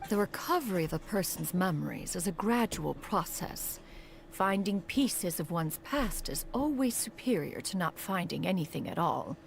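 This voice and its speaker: A woman voicing a composed, formal, wise woman in a video game, speaking in stately, measured, lofty phrases. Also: on the low side, animated, vocal fry. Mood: composed, instructive.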